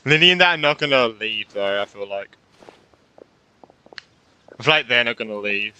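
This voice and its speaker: A man's voice: medium pitch, expressive, moderate pace, pushed and bright, clean tone, poor audio. A man giving casual playthrough commentary as a gaming streamer, musing aloud informally in loose phrases on a close microphone.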